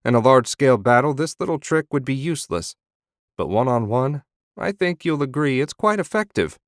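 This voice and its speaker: A man's voice: mid-range voice, animated, a little fast, no echo, clean studio sound, voice pushed up, natural voice. A man voicing a sardonic, smug young nobleman who is a wizard in an audiobook.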